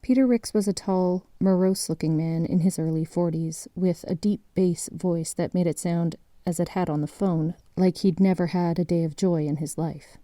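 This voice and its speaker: A woman playing the composed narrator of a grim documentary-style mystery, reading in a measured, matter-of-fact way. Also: on the low side, steady tone, mellow, no echo, natural voice. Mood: measured, grave.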